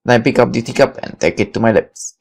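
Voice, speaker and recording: Creaky-voiced man narrating a visual novel. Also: mid-range voice, steady tone, fast talker. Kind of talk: dramatic read-aloud of scripted first-person narration.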